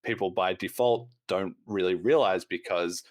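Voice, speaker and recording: Low-voiced man hosting a podcast. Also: normal speed, no echo, clean studio sound. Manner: talkative, explains at length, laughs now and then. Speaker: podcast host. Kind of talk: casual podcast conversation.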